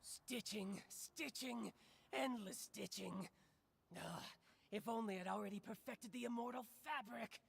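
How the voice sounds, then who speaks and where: A man voicing a small, excitable, scrappy creature in a game, with a gravelly, raspy cartoonish voice. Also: high voice, a little slow, full character voice. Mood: grumbling.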